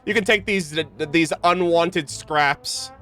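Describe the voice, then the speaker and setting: A young woman streamer talks casually over a game. Her delivery is informal, excitable and full of joking, with faint game music in the background. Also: lowish voice, unhurried. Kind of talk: casual game livestream commentary.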